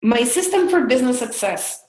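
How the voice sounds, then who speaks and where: A woman's voice: on the high side, lively intonation, normal speed, darkish tone, roomy sound. A woman in her thirties from El Salvador with a Salvadoran Spanish accent, presenting a business webinar in an earnest, candid way with frequent fillers. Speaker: business consultant.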